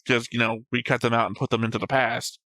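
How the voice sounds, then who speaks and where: A man's voice: medium pitch, little pitch movement, quick pace, bright, forceful tone, no echo. A man hosting a reaction show in a casual, conversational style. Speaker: host of an anime reaction show.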